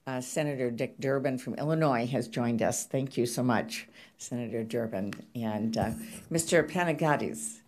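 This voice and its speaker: A woman's voice: rumbling low, moderate pace, a little mellow. A woman chairing a hearing, politely welcoming and introducing witnesses. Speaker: committee chair. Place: hearing room.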